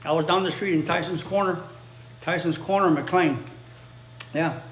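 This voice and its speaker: A man's voice: a little high, steady tone, moderate pace, a bit bright, a little hiss or hum. A man whose first language is Spanish, teaching English and chatting casually with viewers on a livestream, often repeating his phrases for learners. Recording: roomy echo, faint ambience.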